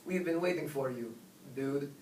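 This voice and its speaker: A man voicing a laid-back chihuahua who leads his little gang in a storybook, with drawn-out, rhyming lines. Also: on the high side, a little mellow, close to an everyday voice. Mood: confiding. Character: laid-back male chihuahua gang leader, biggest of the small ones. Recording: roomy, distant, noisy recording.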